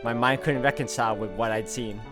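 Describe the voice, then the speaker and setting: A man's voice: medium pitch, steady tone, fairly quick, over music. Man dramatically reading a game story aloud. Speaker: game walkthrough player. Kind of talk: reading visual novel narration aloud with casual asides.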